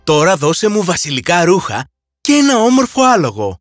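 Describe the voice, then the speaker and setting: A performer playing an eager, lovestruck, boyish young man with earnest delivery in an animated fairy tale. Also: mid-range voice, soft background music. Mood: confident.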